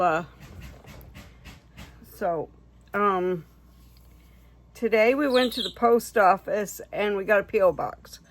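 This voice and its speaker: A hoarse woman who is losing her voice, chatting casually and unhurriedly as she makes bead crafts on a vlog. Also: mid-range voice, no room sound. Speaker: craft vlogger.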